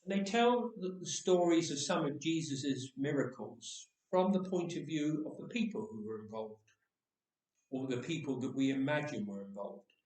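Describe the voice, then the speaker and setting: A man's voice: on the high side, animated, moderate pace, darkish tone. A man who reads stories aloud, speaking as himself to introduce a reading. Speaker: story reader. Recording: echoey room, distant microphone.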